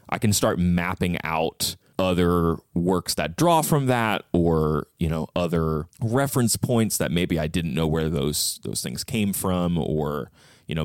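A man chatting casually as a podcast guest, reflective and often hedging as he thinks out loud. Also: low-pitched, no room sound, clean studio sound. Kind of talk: casual book discussion.

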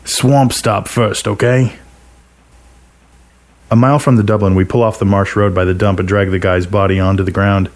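Low-voiced man narrating fiction. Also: level tone, fast talker, hardly any pauses. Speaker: story narrator. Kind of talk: first-person scripted fiction narration.

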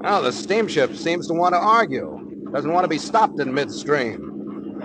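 A shrewd terse captain from an old radio serial, slightly high voice, lots of noise. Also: male voice, sing-song, measured pace. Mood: grim. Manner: matter-of-fact, terse, hard-boiled. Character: steady, shrewd male sea-captain adventurer. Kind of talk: 1940s radio acting. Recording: noisy old 1940s radio recording.

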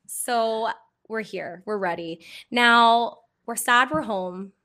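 A woman hosting a casual chat podcast, her voice hoarse and a little phlegmy from a cold, bubbly and quick to laugh. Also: a little high, pushed and bright. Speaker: podcast host.

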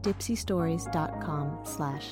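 A woman's voice: low voice, unhurried. A woman announcer reading a scripted sponsor offer. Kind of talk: scripted ad read. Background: loud music.

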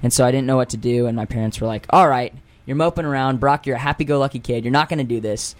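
A young male singer rambles through his answers on a radio show, leaning on fillers and restarts and poking fun at himself. His speaking voice sits at an ordinary middle pitch for a man. He is picked up in a studio. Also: quick pace, no room sound. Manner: rambling and self-deprecating, many fillers and restarts. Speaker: guest singer. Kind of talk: casual radio interview.

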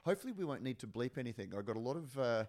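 A man hosting a podcast in casual chat, his voice a bit hoarse, often stumbling and repeating words. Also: medium pitch, big pitch swings, a little mellow. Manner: halting, repeats words and fills with "uh". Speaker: podcast host.

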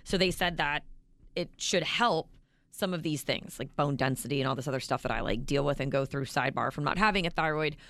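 A woman co-hosting a morning radio show, with a deep, raspy voice, chatty and self-mocking. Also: fairly quick, fairly bright tone, dry recording. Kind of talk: casual radio banter. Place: radio studio.

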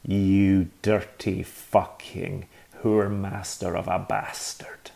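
A man's voice: deep voice, flat delivery, moderate pace, mellow. A man voicing a bitter, drunken mother who hisses insults down a phone line. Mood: venomous. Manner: hissing, venomous.

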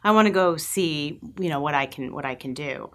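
A middle-aged woman with a Long Island New York accent and a notably low voice. She speaks as an agency president in a studio interview, telling lively anecdotes and acting out bits of old conversations. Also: normal speed. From New York, USA. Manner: lively anecdotes, acts out remembered dialogue. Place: podcast studio.

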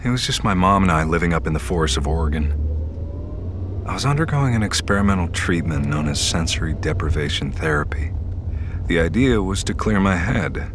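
An actor playing a grown man who recounts his troubled youth in first-person narration, with a very deep voice. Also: animated, brisk, noisy background. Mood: reflective. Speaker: narrator of an audio drama.

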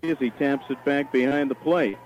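A man's voice: medium pitch, moderate pace, darkish tone. Male baseball announcer, muffled noisy broadcast. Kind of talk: live sports commentary. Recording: noisy lo-fi archive game broadcast. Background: ballpark ambience.

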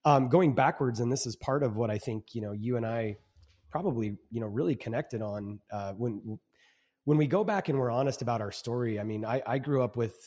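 A man's voice: medium pitch, fairly quick, darkish tone. Man, 40s, podcast guest, earnest, reflective, stumbling restarts, reverberant. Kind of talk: casual interview chat.

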